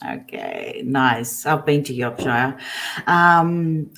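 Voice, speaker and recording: A woman from Australia with a notably low voice, introducing herself in a relaxed interview. She chats in a plain, easygoing way. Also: extremely slow, gravelly. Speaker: psychic guest. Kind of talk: casual interview chat.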